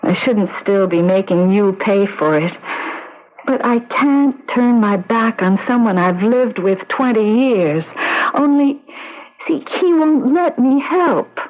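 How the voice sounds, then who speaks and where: An actress playing a regretful, devoted middle-aged wife in a radio drama, speaking in hesitant, halting phrases. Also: female voice, on the high side, animated. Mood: troubled, pleading. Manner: hesitant, halting, repeats words. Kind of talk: 1970s radio drama acting. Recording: dull lo-fi vintage radio recording.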